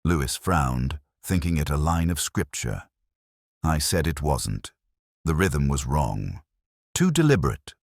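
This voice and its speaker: Male actor as brooding inspector narrating a detective drama: extremely low voice, expressive intonation, measured delivery. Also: gravelly, no echo, clean studio sound, exaggerated voice. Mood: quietly suspicious. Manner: measured, literary, reflective. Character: brooding male police inspector recounting a murder case. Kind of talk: first-person scripted detective story narration.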